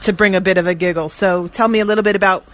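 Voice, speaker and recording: A woman's voice: medium pitch, steady tone, rapid-fire, fairly bright tone. A woman interviewing a shop owner in her store, chatty and enthusiastic. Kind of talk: casual interview. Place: gift shop.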